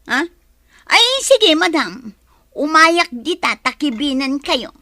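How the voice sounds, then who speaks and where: Actress doing a sassy worker lady, high swoopy voice, touchy and grumbly, radio drama style. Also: expressive, slow pace, sharp tone, heavily acted. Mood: eagerly polite. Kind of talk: Filipino audio drama acting.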